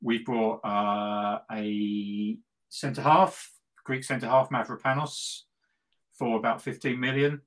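A man's voice: mid-range voice, little pitch movement, takes it slowly, a bit bright. A middle-aged man chatting casually about football as a podcast co-host, gleeful and tongue-in-cheek, repeating himself for emphasis. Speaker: football podcast co-host and West Ham fan.

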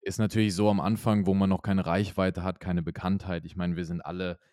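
A young man with a very low voice explains his company fluently and at length, like a startup founder on a business podcast. The recording is dry and close, with no room sound. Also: flat delivery, brisk, warm tone, dry recording. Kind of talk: podcast interview answers.

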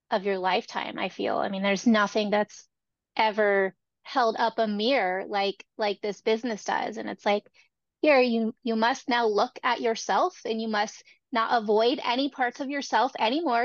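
A woman's voice: on the high side, no echo. A woman hosting a podcast interview, speaking in an earnest, reflective way. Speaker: podcast host. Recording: dry, dull lo-fi sound.

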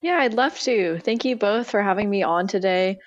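A woman's voice: mid-range voice, normal speed, dry recording, highs cut off. A young woman student from Oregon, a guest on a podcast, speaking in an eager, upbeat way. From Oregon, USA. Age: young adult. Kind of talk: podcast interview.

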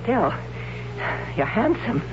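A fierce warrior woman taunts her captive in a high voice that swings widely in pitch. She speaks slowly and with command. The old radio broadcast sounds dull, with music underneath. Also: sing-song, noisy background. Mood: mocking. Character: fierce tall blonde warrior woman, mocking captor. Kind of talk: 1970s radio drama acting.

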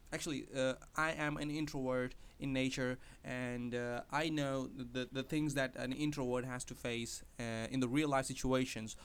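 Hesitant non-native English man, smooth voice. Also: mid-range voice. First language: not English. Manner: hesitant, many fillers and restarts. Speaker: podcast host. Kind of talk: casual spoken advice monologue.

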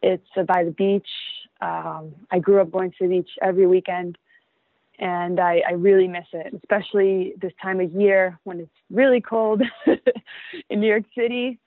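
A woman, answering interview questions casually with many ums and frequent soft laughs. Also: mid-range voice, fairly even intonation, moderate pace, no echo. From Venezuela. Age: 20s. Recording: tinny phone-like line, dry.

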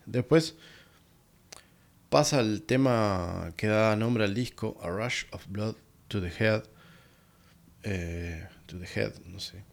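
A man hosting a solo podcast, casually reviewing an album and often pausing to find his words. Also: lowish voice, vocal fry. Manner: relaxed and rambling, many pauses to find words.

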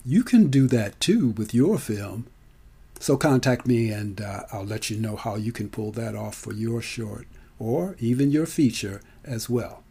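A man in his seventies with an American accent, telling his story as a live presentation with theatrical, deliberate phrasing and dramatic emphasis. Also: mid-range voice, mellow, breathy edge. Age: 70s. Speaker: storyteller and speaker.

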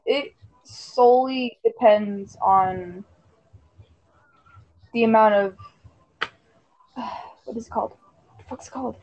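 Bossy, blunt woman with a high voice. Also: slow pace, long pauses, a little mellow, highs cut off. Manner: bossy, blunt, swears, laughs often. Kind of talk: casual group chat.

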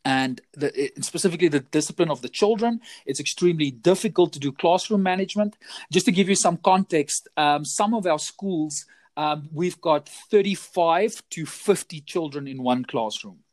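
South african man, afrikaans native speaker, fairly high voice, dry close mic, repeats and restarts. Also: normal speed, no room sound. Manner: chatty, repeats words and restarts often. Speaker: guest, teacher trainer. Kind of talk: casual podcast interview.

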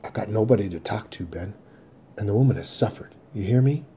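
A man's voice: lowish voice, lively intonation, a little fast, warm tone, dull audio, a little hiss or hum, close to an everyday voice. A man voicing a desperate, rambling aging salesman who pleads insistently and repeats himself. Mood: urgent, pleading.

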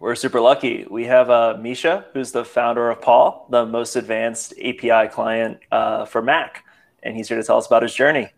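A man with a mid-range voice co-hosts a casual talk over a video call. He sounds relaxed and easygoing, chatting with friends and bringing in the guest. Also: medium pitch, moderate pace, creaky. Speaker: co-host. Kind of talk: casual chat.

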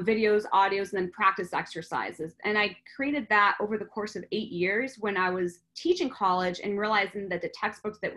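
Woman, middle-aged, raised in France, earnest chatty talk, mid-pitched voice. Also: fairly bright tone. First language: French. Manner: earnest, chatty, many "like" fillers. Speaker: guest, language teacher and author. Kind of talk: casual podcast interview.

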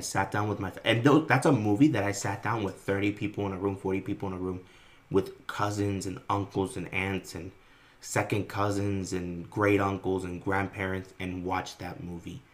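A man co-hosting a casual podcast, telling animated family stories with plenty of swearing. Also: sits low. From Greek-American family. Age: adult. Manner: animated, profane storytelling, swings between loud and quiet. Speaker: podcast co-host. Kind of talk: casual chat.

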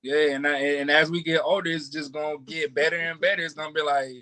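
A man in his twenties, a podcast guest, chatting in relaxed slang with frequent restarts. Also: on the high side, fast talker. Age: 20s. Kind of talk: casual chat.

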